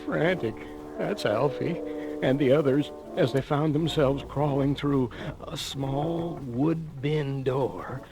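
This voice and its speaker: A man's voice: medium pitch, slow, creaky. A man narrating a children's storybook record in a theatrical, dramatic style. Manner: theatrical, animated. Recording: 1980 vinyl read-along record with music.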